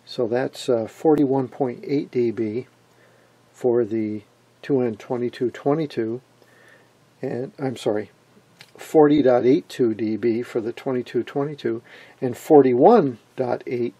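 Gravelly, mellow man giving a technical demo. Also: mid-range voice. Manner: halting, matter-of-fact delivery. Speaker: presenter of electronics demonstrations. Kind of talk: unscripted technical demonstration. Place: indoors at an electronics workbench.

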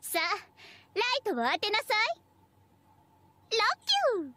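A woman's voice: very high-pitched, very animated, measured pace, background noise, heavily acted. A woman voicing a haughty, bratty young dragon-girl idol in a game, theatrical and boastful. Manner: proud, theatrical, sing-song boasting. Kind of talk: game character voice lines.

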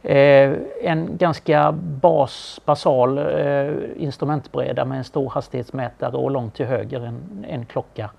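A middle-aged Swedish man presenting a car in a relaxed, knowledgeable studio chat, with frequent fillers. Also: mid-range voice, vocal fry, few pauses. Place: small studio with a brick wall.

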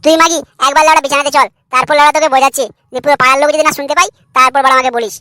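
Guy doing a cranky boastful cartoon husband, high bright voice, talks fast and swears a lot. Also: level tone. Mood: boastful, insistent. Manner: brash, foul-mouthed, argumentative. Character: crude, irritable young husband, frustrated and boastful. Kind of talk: comedy cartoon dialogue.

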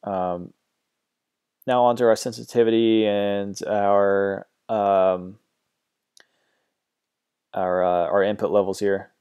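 A man with a low, mellow and smooth voice talks through music software settings at his computer. He sounds relaxed and a bit hesitant, filling pauses with ums and uhs. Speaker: music production tutor. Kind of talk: screen-recorded software tutorial.

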